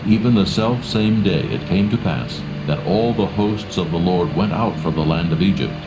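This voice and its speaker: A man giving solemn scripted scripture narration in a measured, formal manner. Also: sits low. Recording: dull recording with music behind.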